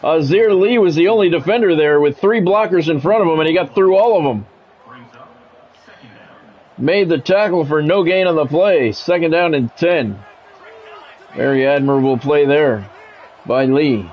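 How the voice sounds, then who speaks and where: Higher-pitched man calling football play-by-play. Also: moderate pace, long pauses, dull audio. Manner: practised radio-style play-by-play, clipped phrases. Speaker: streamer doing play-by-play of a football video game. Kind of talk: sports commentary mixed with casual chat.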